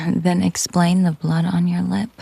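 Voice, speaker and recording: A woman playing a possessive, coolly menacing mafia boss girlfriend in an intimate audio roleplay, teasing and commanding in a breathy, husky murmur. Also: lowish voice, little pitch movement, measured pace, dark tone, no echo, full character voice.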